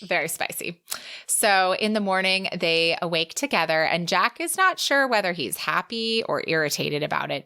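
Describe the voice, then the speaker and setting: A woman's voice: sits low, pushed and bright. A woman co-hosting a podcast, giving a casual book recap in a chatty, playful, exclamatory way. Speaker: podcast co-host.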